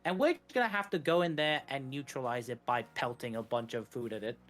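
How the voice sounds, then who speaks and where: A man's voice: medium pitch, a little fast, fairly bright tone. A man giving casual live commentary on a video game run, explaining it in a wry, tongue-in-cheek way. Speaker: speedrun co-commentator.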